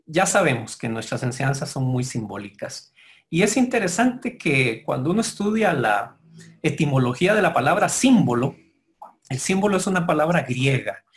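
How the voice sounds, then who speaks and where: A man giving a formal lecture to members, speaking in a measured, explanatory way with pauses and self-corrections. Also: a little high. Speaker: guest lecturer.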